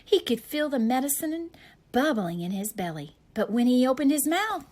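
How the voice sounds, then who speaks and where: A woman reading a picture book aloud to young children with deliberate, dramatic emphasis. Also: high voice, big pitch swings, darkish tone.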